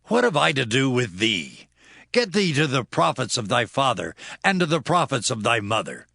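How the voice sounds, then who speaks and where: An actor playing a stern prophet, declaiming solemnly in a dramatized scripture production. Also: male voice, medium pitch, very animated, vocal fry, pushed and bright, dry recording, clean studio sound, heavily acted. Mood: stern, rebuking. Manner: solemn, declamatory, drawn-out phrasing. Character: stern male prophet delivering divine commands.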